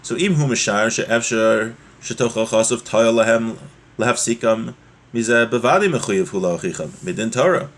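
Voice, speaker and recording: A man giving a religious lesson as a teacher, explaining a text point by point and repeating key ideas, in a husky voice. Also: medium pitch, normal speed. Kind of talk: Torah lesson, reading Hebrew text and explaining it.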